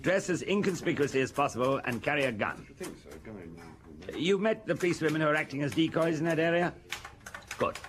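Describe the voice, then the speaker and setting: Brief: an actor as a crisp, businesslike police officer on a call, slightly high voice. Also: male voice, lightly acted. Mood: brisk. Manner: brisk, businesslike instructions. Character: brisk male police officer on the phone.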